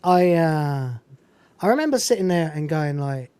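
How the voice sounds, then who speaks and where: A man's voice: a little high, normal speed, smooth, no echo. A man hosting a casual film review, talking animatedly and swearing now and then. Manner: animated, quotes film lines with put-on voices and swearing. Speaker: podcast host.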